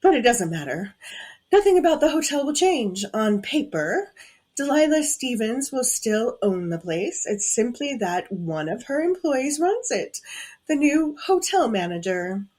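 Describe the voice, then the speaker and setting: Haughty, theatrical villainess with swooping delivery. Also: female voice, a little high, very animated, measured pace, a little mellow. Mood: accusing, scornful. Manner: taunting, imperious, theatrical. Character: haughty, gloating female villain, cruel body-borrowing hotel owner. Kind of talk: scripted audio drama acting.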